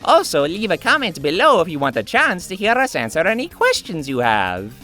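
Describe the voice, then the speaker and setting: A woman voicing a perky young woman in a cartoon game parody, with an expressive, bubbly manner. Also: lowish voice, brisk. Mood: cheerful. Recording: music behind the voice.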